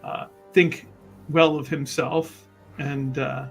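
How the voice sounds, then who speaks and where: A man's voice: fairly high pitch, flat delivery, slow pace, vocal fry, faint music behind. A man playing a tabletop roleplaying game, casually explaining his character and often repeating and rephrasing his words as he goes.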